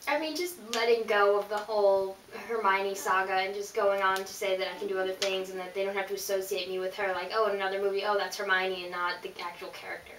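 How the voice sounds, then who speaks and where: A woman's voice: medium pitch, fairly even intonation, quick pace, few pauses, a bit bright, voice pushed down, close to an everyday voice. A woman playing a young film actress answering earnestly in an improvised mock interview. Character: young English film actress reflecting earnestly on her career. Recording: roomy, distant mic, faint noise.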